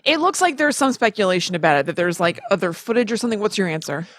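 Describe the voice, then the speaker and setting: A woman co-hosting a podcast in casual, comedic chat, with a chatty, animated manner. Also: medium pitch, fast. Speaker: podcast co-host.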